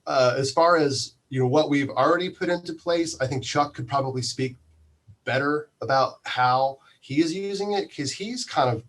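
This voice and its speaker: A man giving a casual interview as a guest from a community radio station, speaking haltingly as he thinks aloud. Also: a little high.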